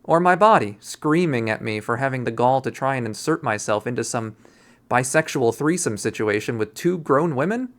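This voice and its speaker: A man reads a visual novel aloud as its narrator. His delivery is theatrical and expressive, playing the inner monologue as first-person drama. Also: mid-range voice.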